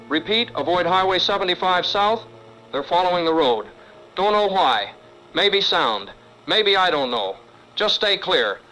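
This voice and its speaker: A man's voice: sits high, bright, forceful tone, low-quality recording. A man playing a wary survivor radio operator in an audio drama, speaking in clipped, urgent phrases over a two-way radio.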